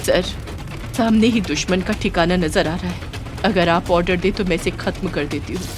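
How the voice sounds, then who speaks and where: A woman's voice: on the low side, normal speed, background noise, lightly acted. A woman voicing a brave, devoted young soldier wife in an earnest, heartfelt audio story melodrama. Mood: resolute, devoted.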